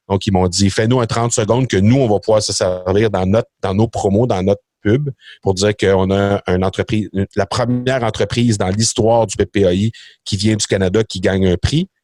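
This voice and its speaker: A man's voice: on the low side, darkish tone, dry recording, studio quality. A middle-aged man with a Québécois French accent, chatting as a podcast guest, hesitant with many fillers and restarts. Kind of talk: casual interview chat.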